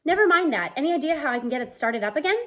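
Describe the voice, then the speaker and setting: A woman's voice: sits high, expressive, very fast, fairly bright tone. A woman voicing a grumpy, burnt-out older male teacher, curt and impatient, in a storybook reading. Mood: curt, defiant. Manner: curt, impatient, tight-lipped.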